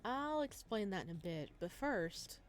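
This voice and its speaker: A woman voicing a determined, guarded young man in a visual novel, speaking in a curt, low-key way. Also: very animated, a little slow, faint music behind. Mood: casual, earnest.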